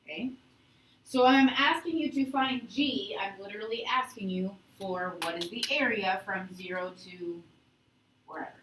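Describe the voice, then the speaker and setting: A woman teaching high school calculus to a class, instructive, often checking understanding with short questions and prompts. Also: moderate pace, fairly bright tone. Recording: echoey classroom, distant mic, faint noise.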